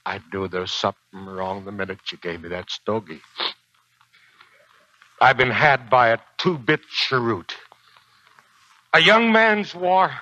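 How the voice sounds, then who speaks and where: Gruff, piercing old Marine sergeant. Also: male voice, mid-range voice, animated, measured pace, pauses often, bright, forceful tone, no echo. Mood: indignant. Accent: American. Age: older. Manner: folksy, uneducated slangy speech. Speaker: actor. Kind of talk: 1940s American radio drama acting. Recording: dull 1940s radio recording, faint music.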